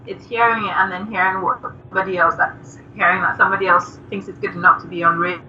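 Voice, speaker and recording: A young woman from Nigeria, a musician guest, chatting softly and giggling with lots of fillers. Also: medium pitch, level tone, quick pace. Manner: soft-spoken, giggly, with many fillers. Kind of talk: casual interview chat. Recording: distant, dull lo-fi remote call with faint noise.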